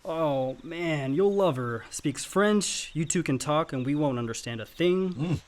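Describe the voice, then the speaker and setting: A man's voice: on the high side, measured pace, a little mellow, slight background noise, natural voice. A male actor playing a teasing, easygoing grown son and loyal brother in a naturalistic radio play. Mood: reassuring. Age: young adult. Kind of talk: naturalistic radio drama dialogue.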